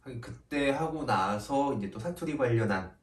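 A man's voice: mid-range voice, expressive, normal speed. A man co-hosting a reaction video, chatting casually and enthusiastically. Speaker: reaction channel co-host. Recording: roomy, distant mic.

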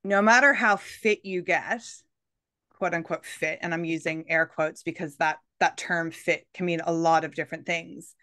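A woman in her thirties hosts an interview in a slightly low voice. She speaks earnestly and encouragingly. Her sound is dry and close, recorded on a video call from a room lined with bookshelves. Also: steady tone, moderate pace, bright, forceful tone, dry recording. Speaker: podcast host, coach for midlife women. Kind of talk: interview hosting.

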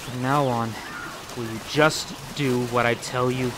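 A man voicing a weary, steady adult male scientist who speaks in short, commanding bursts. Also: mid-range voice, measured pace, background noise, close to an everyday voice. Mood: exhausted.

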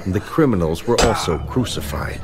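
A man reading scripted scripture narration for a dramatized production, in a measured storytelling manner. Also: low-pitched, lively intonation, noisy background. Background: music.

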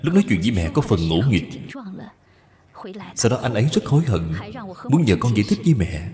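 A man voicing a gentle, dutiful young wife from old China in a cartoon, speaking with deference and patience. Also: lowish voice, very animated, brisk. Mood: apologetic. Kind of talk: cartoon dubbing. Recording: long reverb, music behind.

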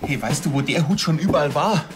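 An actor playing an eager, naive police officer, chatty and earnest. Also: male voice, fairly high pitch, fairly quick, music in the background, lightly acted. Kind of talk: naturalistic TV crime comedy acting.

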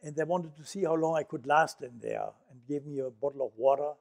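An older man with a Dutch accent addresses an audience in an auditorium. His voice sits a little high, picked up from a distance. He talks in a reflective, unhurried way, with fillers between his thoughts. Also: creaky, darkish tone. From Netherlands. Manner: reflective storytelling with frequent fillers. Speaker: Holocaust survivor speaker. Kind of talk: lecture. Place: auditorium stage.

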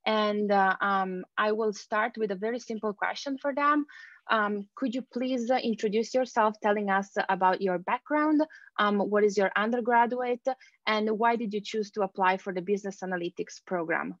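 Woman moderator on a dry, dull-sounding video call, polite, halting. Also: medium pitch, no room sound. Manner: polite, slightly halting, with fillers. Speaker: assistant program director, moderator. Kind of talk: moderating a panel.

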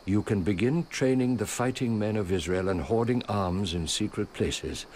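An actor playing a devout, shrewd old merchant and loyal steward in a radio drama, speaking in an earnest, measured way. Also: male voice, on the low side, a little mellow, natural voice. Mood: fervent. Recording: radio drama with faint background noise.

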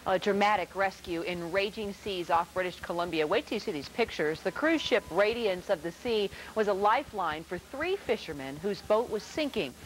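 A woman in her thirties anchoring live news from a newsroom studio, crisp when scripted, halting in ad-libs. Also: medium pitch, animated, slight background noise, low-quality recording.

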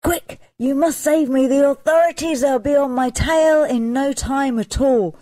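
A woman storyteller voicing a bold male highwayman in a folk tale, urgent and exclaiming. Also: a little slow, dark tone, dry recording, clean studio sound. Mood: urgent pleading. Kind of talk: storybook character dialogue.

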